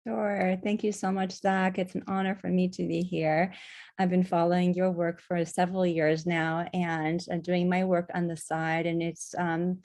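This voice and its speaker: A woman from Iran giving an online talk as a guest speaker, speaking softly and hesitantly with many fillers. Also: lowish voice, flat delivery, moderate pace. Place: at home on Kauai, video call.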